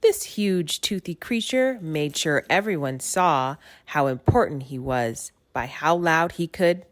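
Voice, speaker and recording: A woman giving an expressive read-aloud narration of a rhyming children's story. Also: sits low, measured pace, dry recording. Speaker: storybook reader.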